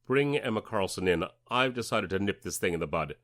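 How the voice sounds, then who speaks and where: A commanding, ruthless official from an audio drama. The voice is low but hard-edged and bright, with strongly varied pitch. He gives clipped orders with complete authority, in a dry recording. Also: animated, fairly quick, no echo. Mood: stern, commanding.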